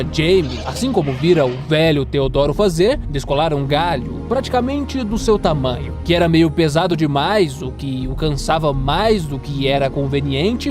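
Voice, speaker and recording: A man with a Brazilian accent narrating a scripted audio drama. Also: fairly high pitch. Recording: music playing behind the voice. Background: music.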